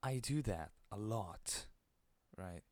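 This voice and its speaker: A man with an Italian accent hosting a podcast alone, keeping his voice low and soothing, with an occasional stutter into the microphone. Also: lively intonation, slow, dry recording. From Italy. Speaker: podcast host. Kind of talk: casual solo monologue.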